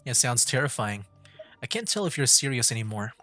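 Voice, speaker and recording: A man's voice: medium pitch, big pitch swings, a little fast, pushed and bright. Male game streamer, mid-range voice, off-the-cuff laid-back commentary, faint music underneath. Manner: laid-back, rambling, thinking aloud. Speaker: livestreamer playing a video game. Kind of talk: casual gameplay commentary.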